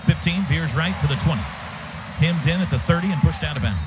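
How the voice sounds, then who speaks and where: An American man calls football play by play in a raised, excitable voice with dramatic phrasing. The sound is a dull radio broadcast with loud stadium noise behind him. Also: on the high side, fairly quick. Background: loud outdoor stadium noise.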